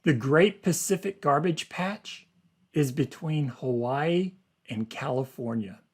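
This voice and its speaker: A man, a teacher reading an article aloud to learners and explaining it in simple, deliberate phrasing. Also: a little high, breathy edge.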